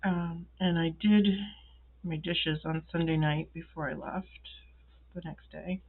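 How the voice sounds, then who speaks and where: A woman who is ill and congested, chatting slowly while she fills in her planner at a desk, with many ums and pauses. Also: low voice, fairly even intonation, gravelly, phone-quality sound, slight background noise. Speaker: planner vlogger. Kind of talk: casual talk while filling in a planner. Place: indoors at a wooden desk.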